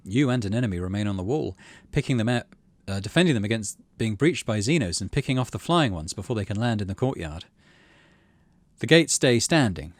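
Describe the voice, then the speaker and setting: A man's voice: lowish voice, dry recording. A man hosting a game playthrough, switching between casual commentary and reading story text aloud. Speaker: gaming channel host.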